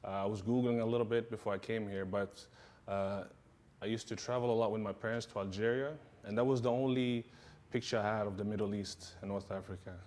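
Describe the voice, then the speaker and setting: A man, a martial arts instructor answering interview questions in a relaxed way, with many fillers and repeated yeahs. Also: lowish voice, fairly quick. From Sweden.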